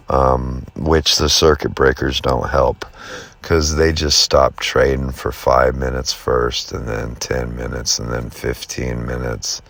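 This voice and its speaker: Male video host, extremely low voice, unhurried delivery, folksy and self-deprecating, talking markets off the cuff. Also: creaky, fairly bright tone. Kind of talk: casual off-the-cuff market commentary.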